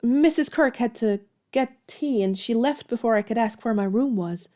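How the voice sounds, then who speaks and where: An actress playing an earnest, polite young woman writer and governess in an audio drama, with expressive delivery. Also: fairly high pitch, normal speed, lightly acted. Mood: polite, eager.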